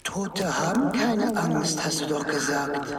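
A woman playing a sinister, mocking haunted attraction voice in a radio play, slow, insinuating and falsely soothing. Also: deep voice, expressive, over a noisy crowd. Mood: taunting.

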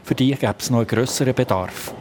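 A man's voice: mid-range voice, fast talker, darkish tone, slight background noise. A man with a Swiss German accent narrating a scripted radio report as a reporter.

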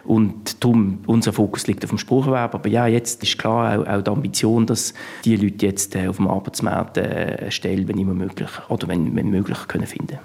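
A man giving halting, unscripted interview answers as a politician, often repeating words. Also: lowish voice, steady tone, gravelly, a little mellow, slightly rough.

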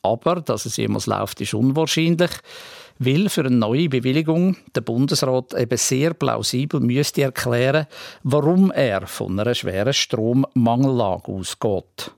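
A man's voice: mid-range voice, a little slow, gravelly, a little mellow. A man with a Swiss German accent presenting regional radio news from a script.

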